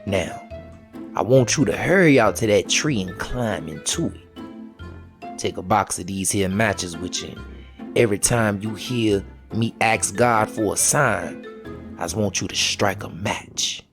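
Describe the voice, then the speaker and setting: A man's voice: fairly low pitch, unhurried, gravelly. A man voicing a sly, bossy trickster folk hero in a folktale, with a folksy, persuasive manner. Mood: urgent, scheming. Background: music.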